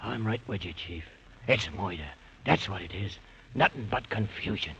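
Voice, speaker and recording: An actor playing a melodramatic, complaining beast of burden in an old-time radio serial. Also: male voice, lowish voice, expressive, moderate pace, gravelly, pushed and bright, slightly rough, heavily acted. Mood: grumbling, put-upon. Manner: complaining, says "moida" for murder. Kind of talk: old-time radio comic character acting. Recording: muffled, noisy old radio broadcast recording.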